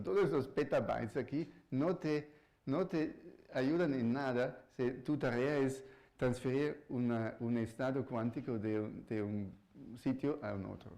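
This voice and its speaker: A man, not a native speaker of the language, giving a public physics lecture as a professor, halting and searching for words with frequent restarts. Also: mid-range voice, a little mellow. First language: not Spanish. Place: university lecture hall.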